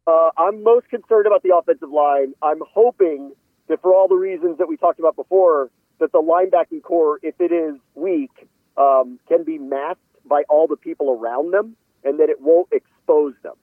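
A man giving conversational football analysis as a guest, measured and opinionated, qualifying his points as he goes. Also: on the high side, dry recording. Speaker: sports analyst interviewed as a guest. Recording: phone-like radio call audio.

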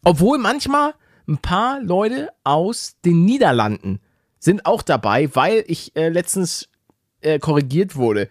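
A man's voice: sits high, big pitch swings, slow, no room sound, studio quality. A man co-hosting a podcast in casual, slangy chat with joking banter. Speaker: podcast co-host.

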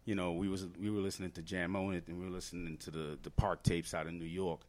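A man's voice: sits low, flat delivery, quick pace. A man from Trinidad and Tobago, a guest hip-hop artist, explaining earnestly in a casual podcast interview with many fillers.

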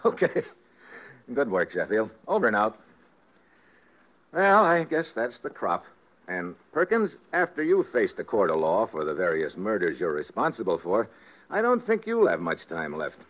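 Wisecracking tough-guy agent for vintage radio drama, low voice, clipped and commanding. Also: wildly swooping pitch, moderate pace, highs cut off. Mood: wry. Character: tough wisecracking American male secret agent. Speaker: lead actor as a special agent. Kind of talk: 1950s radio drama acting.

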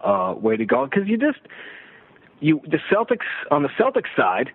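A man talking sports casually as a broadcaster guest, telling stories and throwing out rhetorical questions in an animated way. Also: a little high, normal speed, husky. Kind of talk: casual sports radio discussion. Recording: roomy, thin phone-like radio line.

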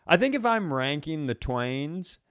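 Male comedy podcaster, slightly high smooth voice, dry close sound, casual riffing with emphatic, sweary mock outrage. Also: big pitch swings, unhurried, fairly bright tone, dry recording.

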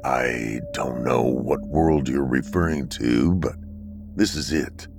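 A man voicing a calm, androgynous bunker dweller from the far future, speaking slowly and sternly. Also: a bass voice, music in the background, voice pushed down, exaggerated voice. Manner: slow, measured, stern.

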